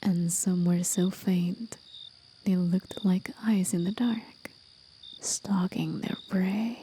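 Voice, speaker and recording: A woman voicing a gentle, wistful demon guide in a soft, breathy, whispered ASMR roleplay, telling an old tale in a measured, hushed way. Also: lowish voice, fairly even intonation, slight background noise. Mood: calm storytelling. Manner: slow, hushed, measured storyteller. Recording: faint nighttime cricket and insect ambience. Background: crickets and night insects.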